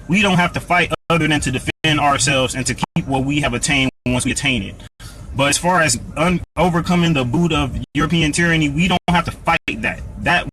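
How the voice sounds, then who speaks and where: A man's voice: mid-range voice, bright, forceful tone, a little hiss or hum, low-quality recording. An American man hosting a live Q&A, answering viewer comments in an earnest, preachy way, often restarting and repeating words. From United States. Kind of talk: live Q&A talk.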